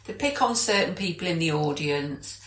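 A British woman talks casually in a slightly low voice, as a podcast co-host would. She is chatty and explanatory, and the recording is noticeably roomy and echoey. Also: animated, moderate pace. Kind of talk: casual chat.

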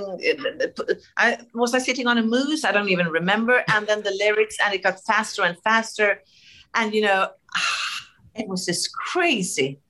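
A middle-aged Swedish woman with a Swedish accent, telling animated stories in a casual interview, laughing often and full of fillers and restarts. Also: mid-range voice, poor audio. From Sweden. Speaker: TV host, interview guest.

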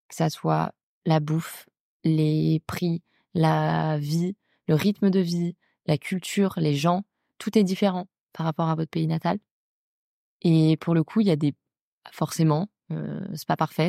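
Mellow young French woman, fairly even pitch. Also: gravelly, no echo, studio quality. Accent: French from France. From France. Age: young adult. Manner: informal and slangy, addresses listener directly. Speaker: podcast host. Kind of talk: casual solo chat about personal experience.

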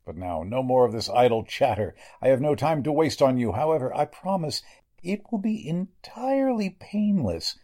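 A man's voice: medium pitch, very animated, warm tone. A man playing a sinister, murderous doctor in a 1940s-style radio drama, speaking in a formal, condescending way. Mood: calm menace. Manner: formal, measured, condescending villain speech. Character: sinister murderous male doctor, coolly menacing narcotics dealer.

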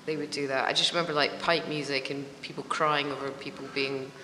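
Scottish woman in her middle years chatting on a podcast, bright slightly low voice, laughs a lot, roomy echo. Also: level tone, a little fast. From Scotland. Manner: chatty, laughs often, acts out voices in anecdotes. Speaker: guest. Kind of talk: casual interview chat. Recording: roomy hall reverb with faint ambience.

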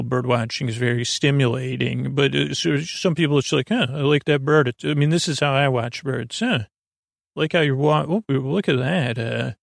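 A man with a creaky voice hosting a podcast as a soothing, gently whimsical bedtime monologue, meandering and hesitant, with many tangents and pauses. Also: mid-range voice, no echo, clean studio sound. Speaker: podcast host.

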